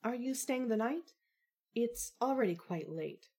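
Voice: medium pitch, expressive, unhurried, warm tone, slightly rough, pitched above the performer's own voice. A narrator voicing a poised, snarky young woman with a formal, dryly polite manner in an audio story. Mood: dry, teasing.

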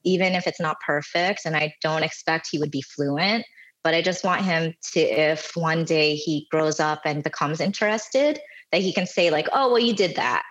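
A woman's voice: low-pitched, normal speed, muffled recording. A woman in her thirties, a podcast guest, telling stories in a chatty, self-deprecating way, full of "like" and "you know". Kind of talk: casual conversational storytelling.

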